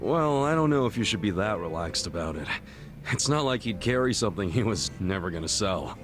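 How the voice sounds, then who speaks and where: An actor playing an easygoing, level-headed buddy in a video game cutscene, speaking casually and earnestly. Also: male voice, fairly low pitch, lively intonation, fairly quick, over music, lightly acted. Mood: casual concern. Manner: casual, incredulous, reassuring.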